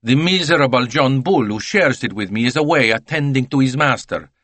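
Chatty male salesman voiced by a man, raised pitch, excitable, dry sound. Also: a little fast, no echo. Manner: excitable, lively, broken phrasing. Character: chatty Italian male salesman, talkative, scornful.

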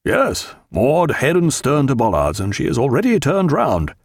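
A man's voice: mid-range voice, huge pitch swings, moderate pace, slightly rough, no room sound, clean studio sound, voice pushed up, exaggerated voice. A man voicing a bluff, kindly, proud naval captain with hearty nautical turns of phrase in an audiobook.

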